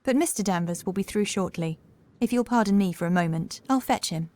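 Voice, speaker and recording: A woman's voice: mid-range voice, animated, fairly quick, darkish tone, natural voice. A woman playing a polite, formal housekeeper in a gothic horror audiobook. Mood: politely composed. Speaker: voice actor in an audiobook. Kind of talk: scripted horror audiobook dialogue.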